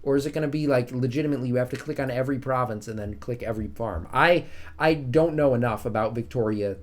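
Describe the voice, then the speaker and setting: A man's voice: medium pitch, quick pace. A man with a soothing voice streaming a strategy game, casually chatting with his viewers and joking at his own expense. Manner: chatty, self-mocking, reads and answers chat.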